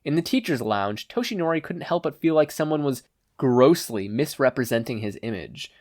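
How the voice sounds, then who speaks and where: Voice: mid-range voice, expressive, normal speed, a little mellow. A reader giving a scripted narration of a story in the manner of an audiobook. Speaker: podfic reader. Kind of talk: scripted narration of fan fiction, audiobook reading with character voices.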